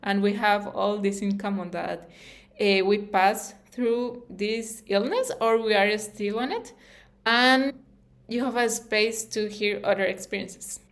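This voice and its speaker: A woman's voice: medium pitch, steady tone, takes it slowly. A woman from Costa Rica with a Costa Rican Spanish accent speaks earnestly and informally as a channel host, with non-native English phrasing. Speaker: YouTube channel host and interviewer. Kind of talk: informal personal talk to camera.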